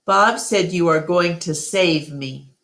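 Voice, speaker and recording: A woman's voice: lowish voice, takes it slowly, a bit bright. A woman voicing a curious, sleepy baby elephant girl who pleads with questions in a children's storybook. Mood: insistent. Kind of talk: children's storybook read-aloud.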